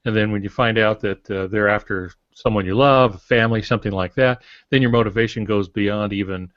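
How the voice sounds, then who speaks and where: An older man explaining things in a halting, digressive way as a co-host in a panel discussion. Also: on the low side, fairly even intonation, a bit bright. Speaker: podcast co-host, writing instructor. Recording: muffled, roomy lo-fi podcast audio.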